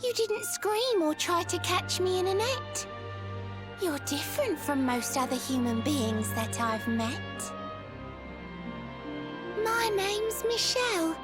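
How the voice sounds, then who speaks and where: A sweet wistful mermaid for a fantasy game, super high voice, slow lilting rhymes, lively melody to it. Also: female voice, exaggerated voice. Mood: apologetic. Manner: speaks in lilting rhyming verse. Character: sweet, lovelorn young female mermaid, earnest and wistful. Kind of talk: fantasy video game cutscene acting. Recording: game music behind the voice.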